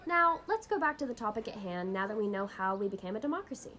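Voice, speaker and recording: A woman's voice: medium pitch, animated, quick pace, sharp tone. A woman hosting an educational podcast in a scripted, instructive explainer style. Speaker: podcast host.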